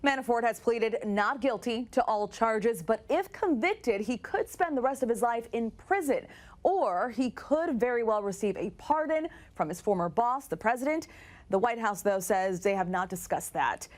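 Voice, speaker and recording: A woman's voice: a little high, lively intonation, moderate pace, no room sound. A woman news correspondent delivering a scripted report.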